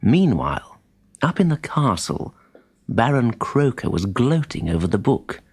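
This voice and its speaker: Expressive male storyteller with lively pitch. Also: a little slow, breathy edge, no echo. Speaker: story narrator. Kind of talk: scripted children's audiobook narration.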